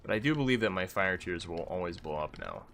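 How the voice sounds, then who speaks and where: Man, congested voice, very low pitch, lively swings in pitch, rambling game streamer, self-mocking. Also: brisk, vocal fry. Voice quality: congested, stuffy-nosed. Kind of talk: casual gameplay commentary.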